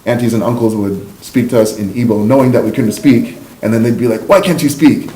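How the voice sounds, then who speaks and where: A man's voice: fairly low pitch, steady tone, quick pace, mellow. Canadian man chatting in an echoey room. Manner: informal and candid, frequent "like" and "you know". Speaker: podcast guest. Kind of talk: casual personal storytelling. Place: indoor room, in-person podcast recording. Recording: roomy echo, faint background noise.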